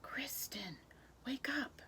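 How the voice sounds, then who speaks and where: A woman voicing a gentle woodland fairy spirit in a soft, hushed, airy way. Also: high voice, lively intonation, slow pace, whispered, pitched above the performer's own voice, heavily acted.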